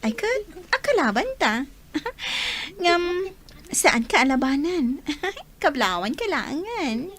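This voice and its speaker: A woman's voice: high voice, very animated, slow pace, fairly bright tone, exaggerated voice. A woman playing a catty, scheming teenage schoolgirl in an audio drama, with a sassy, theatrical delivery. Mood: sassy, teasing.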